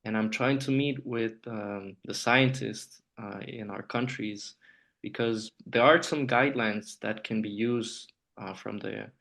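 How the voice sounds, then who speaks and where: A man's voice: fairly low pitch, steady tone, a little slow, creaky, a bit bright. A man from Honduras, a native Spanish speaker, answering conversationally as an interview guest. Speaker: podcast guest, nuclear trainer.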